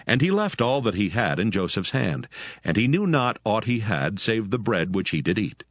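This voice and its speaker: A man narrating an archaic scripture text in a measured, solemn reading. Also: fairly low pitch, big pitch swings, no room sound.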